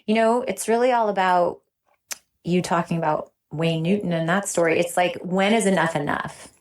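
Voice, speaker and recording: A woman's voice: on the low side, moderate pace. A woman speaking as an interview guest, sharing in a conversational, earnest and effusive way. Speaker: interview guest, recovery program veteran.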